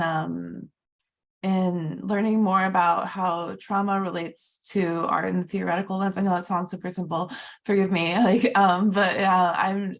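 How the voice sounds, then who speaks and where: An American woman introduces her art practice earnestly, with frequent fillers and modest asides. Her voice is fairly low with heavy vocal fry. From United States. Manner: earnest, many fillers, self-deprecating. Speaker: visual artist, seminar participant.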